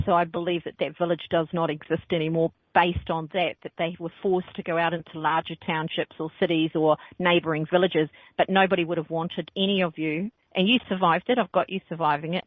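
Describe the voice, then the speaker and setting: A woman's voice: lowish voice, no room sound. Woman radio host doing psychic readings, playful and jokey, dry close sound, narrow phone-like audio. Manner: playful, jokey, laughs often. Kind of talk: casual call-in radio chat.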